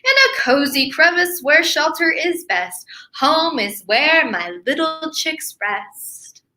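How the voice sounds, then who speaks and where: A woman voicing a fussy mother kelp gull who talks in a shrill, fluttery, dramatic way. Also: animated, slow, pushed and bright, heavily acted.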